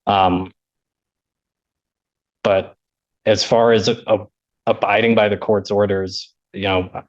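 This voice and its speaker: A man speaking as a defense attorney, making a measured, formal argument in court with hesitant phrasing. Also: lowish voice, level tone, dull audio. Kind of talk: courtroom argument at a bond hearing.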